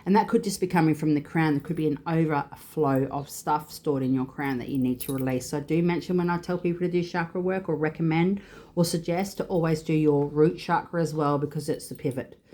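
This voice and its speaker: A woman's voice: low-pitched, a little fast, mellow. A woman with a congested, stuffy voice giving a chatty, rambling spiritual card reading full of fillers. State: congested with sinus trouble. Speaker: tarot and oracle card reader.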